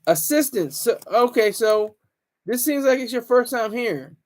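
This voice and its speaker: A man's voice: extremely high pitch, expressive, a little slow. A man hosting a casual livestream, rambling, teasing viewers and stumbling over restarts. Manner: stammers and restarts often. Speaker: livestream host.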